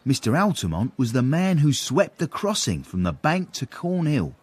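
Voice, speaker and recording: A man's voice: mid-range voice, big pitch swings, normal speed, husky, no echo. An actor playing a wry, discreet British manservant, confidingly narrating his tale in a period comic radio drama. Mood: dry storytelling.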